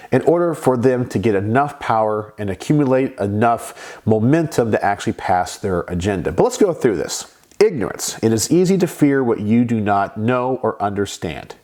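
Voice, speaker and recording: A man hosting his own channel, talking casually to the camera, a bit raspy and stuffed up from a sinus infection, measured and explanatory. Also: medium pitch, warm tone. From Texas. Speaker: channel host.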